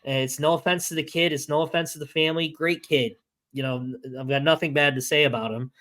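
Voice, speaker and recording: A hoarse man, sick for days and now losing his voice, talking through a casual sports podcast. He stops and restarts often, pushing on in uneven bursts. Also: fairly high pitch, steady tone, a bit bright. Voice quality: halting, many restarts and fillers. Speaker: podcast co-host.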